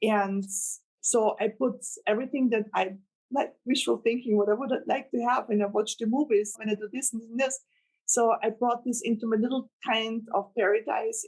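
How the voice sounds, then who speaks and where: A woman's voice: on the high side, a little mellow, low-quality recording. Austrian-accented woman novelist chatting. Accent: German-speaking, Austrian. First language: German. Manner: earnest, rambling, laughs with delight. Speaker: novelist guest. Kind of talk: casual interview chat.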